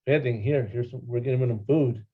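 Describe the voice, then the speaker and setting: A man's voice: mid-range voice, fast, husky, phone-quality sound. A middle-aged man from Los Angeles answering conversationally as a panel guest. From Los Angeles, California. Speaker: panel guest, animal services worker.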